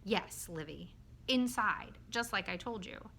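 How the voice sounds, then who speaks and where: A woman voicing a small, timid but excitable male creature in a children's story, earnest and childlike. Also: mid-range voice, vocal fry, exaggerated voice. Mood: wary, earnest. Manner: childlike, earnest, dramatic, halting when scared.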